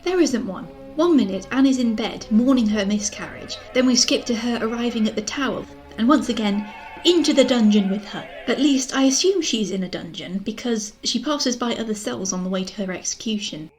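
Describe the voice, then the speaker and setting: A woman's voice: on the high side, expressive, fairly quick, a little mellow, faint music behind, low-quality recording. A woman delivering scripted, sarcastic film commentary with exasperated asides. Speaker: video essay host, film reviewer. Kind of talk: scripted critical commentary on screen dramas.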